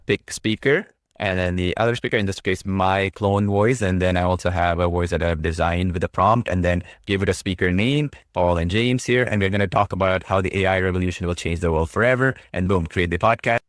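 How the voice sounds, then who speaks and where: Deep-voiced man demoing software. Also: fairly even intonation, fairly quick, hardly any pauses, no room sound, clean studio sound. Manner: upbeat, breezy, says "boom" often. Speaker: product demo host. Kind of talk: casual screen-recorded walkthrough.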